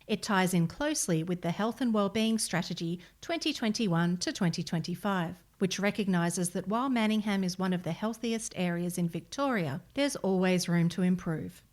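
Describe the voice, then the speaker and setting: A woman with an Australian accent reads a scripted local news article as a volunteer narrator. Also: fairly low pitch.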